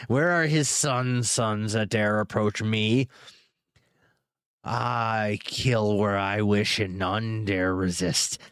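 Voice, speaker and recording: Man putting on a slow, menacing old dragon. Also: on the low side, a bit bright, no room sound. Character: huge ancient gloating male dragon. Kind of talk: audiobook reading.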